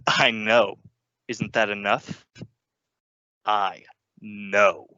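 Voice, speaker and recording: A man acts out a sinister stepfather from an old radio thriller. His voice is low and sharp, and he draws out each phrase with suspicious, insistent menace. The sound is dry and a little dull. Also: fairly even intonation, smooth, dry recording, full character voice. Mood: accusing, menacing. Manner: slow, deliberate, insistent. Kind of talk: old-time radio suspense acting.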